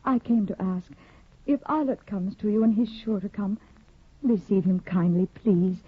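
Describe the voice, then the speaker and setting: Timid, frightened wife, voiced by an actress; stammering and pleading; husky, dry, phone-thin radio sound. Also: female voice, mid-range voice, no room sound, natural voice. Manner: halting, stammering, anxious. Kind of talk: 1950s radio drama acting. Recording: tinny, dry 1950 radio broadcast.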